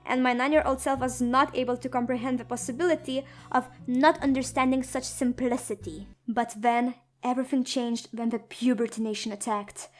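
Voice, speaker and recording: Young woman, high voice, sarcastic storytime narration, soft music behind. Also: steady tone, moderate pace, few pauses. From Lithuania. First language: Lithuanian. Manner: sarcastic, dramatic and self-mocking. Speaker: animator and narrator. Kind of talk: comedic animated storytime narration.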